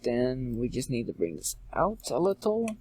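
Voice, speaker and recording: A man giving an informal step-by-step software tutorial in a halting way, repeating and correcting himself as he goes. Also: medium pitch, huge pitch swings, breathy edge. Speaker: tutorial presenter. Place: indoors, screen-recording voice-over.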